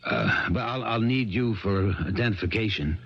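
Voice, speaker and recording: An American man playing a steady, considerate police sheriff in a 1970s radio mystery, speaking in a measured, careful, hesitant way. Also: lowish voice. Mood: careful, calming. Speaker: actor. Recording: noisy, dull old radio broadcast recording.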